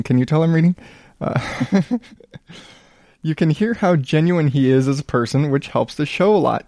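A man in his thirties hosting his own podcast, rambling casually in a calm, smooth voice and poking fun at himself. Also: fairly high pitch, no room sound, studio quality. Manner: rambling, self-deprecating, reads reviews aloud. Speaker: podcast host. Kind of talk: casual solo podcast monologue.

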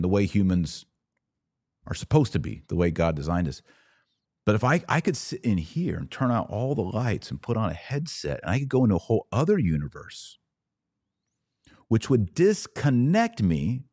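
A man's voice: low voice, dark tone, dry recording, clean studio sound. A middle-aged American man hosting a podcast, giving an opinionated monologue in emphatic, short punchy phrases. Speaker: podcast host.

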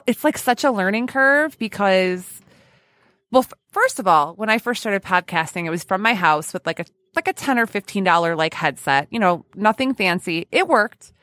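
A woman hosts a casual podcast conversation with a very expressive, swinging intonation. She is chatty and self-deprecating, with a giggly streak. Her voice sounds close and dry. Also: lowish voice, dry recording. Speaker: podcast host.

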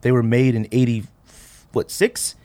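A man in his thirties hosting a casual chat, rambling and thinking out loud in slangy talk. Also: mid-range voice, level tone, unhurried. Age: 30s. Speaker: podcast host. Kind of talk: casual chat about sneakers.

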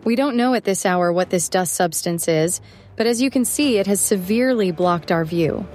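A woman's voice: medium pitch, moderate pace, a little mellow, soft background music, natural voice. A woman playing a serious TV news reporter giving a live disaster report in an audio drama. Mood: urgent, serious.

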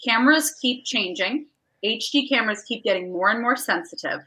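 A woman's voice: a little high, expressive, moderate pace, bright, forceful tone, slightly rough, poor audio. A pregnant woman in her late thirties co-hosting a podcast, frank and matter-of-fact with dry jokes. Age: late 30s. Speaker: podcast co-host. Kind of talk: casual chat.

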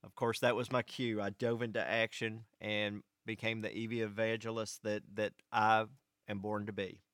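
An American man telling a first-person story to an audience in measured, short phrases, as a vlog host. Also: fairly low pitch, flat delivery, a bit bright, clean tone, no room sound, studio quality. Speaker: vlog host and electric cooperative employee.

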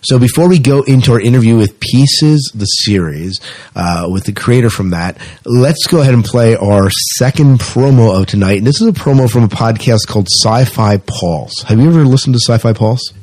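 A man's voice: medium pitch, expressive, normal speed, a little mellow. A man hosting a podcast in casual conversation, often restarting his sentences as he goes. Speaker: podcast host. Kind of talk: casual podcast interview chat.

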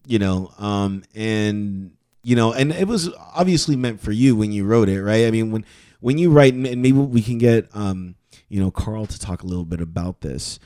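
A man co-hosting a podcast in casual chat, often laughing and halting with many fillers and restarts. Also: fairly low pitch, animated, mellow. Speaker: podcast co-host.

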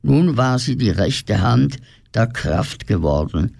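A man's voice: medium pitch, lively intonation, takes it slowly, gravelly, darkish tone, dry recording, studio quality. A man narrating a radio play in a scripted, fairy-tale storytelling style.

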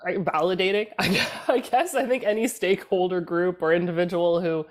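A Canadian woman in her thirties talks politics on a podcast panel. Her voice sits on the low side. She speaks haltingly, pausing and restarting as she searches for the right words. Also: fairly even intonation. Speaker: political communications guest. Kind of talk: casual podcast discussion.

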